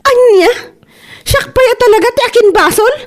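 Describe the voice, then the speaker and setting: A woman's voice: very high-pitched, normal speed. A woman with a Filipino accent playing a long-suffering, plaintive wife and mother in a comedy radio drama. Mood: indignant.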